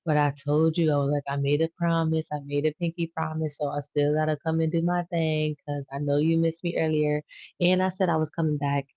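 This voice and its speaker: A woman's voice: low-pitched, level tone, a little fast, mellow, no room sound, dull audio. A woman hosting a spiritual tarot livestream, chatting casually and slangily straight to her viewers. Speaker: tarot reader and livestream host.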